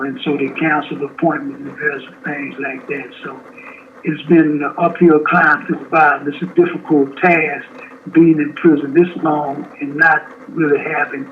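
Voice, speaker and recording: Older man, 70s, slow and halting; tinny phone-line recording. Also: on the high side, a little hiss or hum. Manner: slow, halting, with many restarts. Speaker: incarcerated interviewee. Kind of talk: phone interview from prison.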